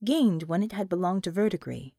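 A woman reading a novel as an audiobook narrator, in an expressive scripted storytelling style. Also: lowish voice, a little fast, dry recording, studio quality.

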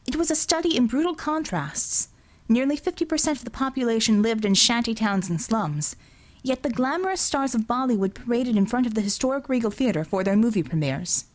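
A woman reading dramatic fiction as an audiobook narrator, deliberate and expressive in her storytelling. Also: on the high side.

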